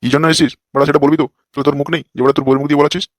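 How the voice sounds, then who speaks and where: A man's voice: on the high side, flat delivery, very fast, close to an everyday voice. A man voicing a crude, lecherous fake holy man in a comic cartoon, with a brash, scolding manner.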